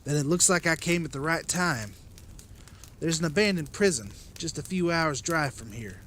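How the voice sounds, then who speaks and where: A man's voice: a little high, animated, moderate pace, a bit bright, slight background noise. A man playing an earnest, persuasive leader of apocalypse survivors in a dramatized comic. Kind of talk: dramatized comic-book voice acting.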